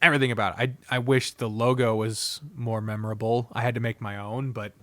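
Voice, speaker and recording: A man's voice: medium pitch, little pitch movement, a little fast, no echo. A man hosting a casual, unscripted review video, speaking bluntly and expressively with frequent swearing and rambling asides. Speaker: video host reviewing visual novels.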